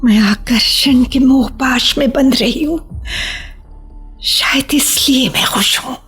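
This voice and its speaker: A woman's voice: high voice, slow, fairly bright tone, breathy edge, soft background music, full character voice. A woman acting in a Hindi radio play as a lonely, reserved woman with secret longing, hesitant and emotional, repeating her phrases. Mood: yearning, confessional.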